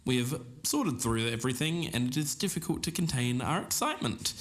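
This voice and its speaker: An actor playing an earnest podcast investigator, narrating from a script in a measured, deliberate way. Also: male voice, medium pitch, animated, lightly acted. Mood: earnest, intrigued. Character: earnest male podcast investigator of a lost TV show. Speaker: podcast host. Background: music.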